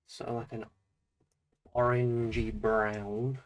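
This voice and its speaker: Croaky Northern English man chatting. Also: medium pitch, takes it slowly. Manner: relaxed, chatty, laughs often. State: croaky from a slight hangover. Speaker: streamer. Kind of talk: casual livestream chat while drawing.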